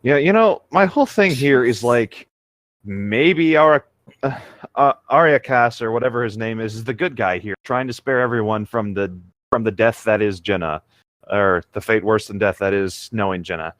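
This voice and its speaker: A man's voice: fairly low pitch, normal speed, no room sound, studio quality. A man reading fan fiction aloud as a co-host, breaking off for sarcastic, offhand asides.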